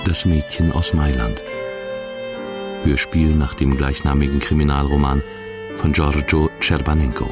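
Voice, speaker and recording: Male announcer, extremely deep, scripted credits reading, music behind. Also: little pitch movement, measured pace. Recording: music under the voice.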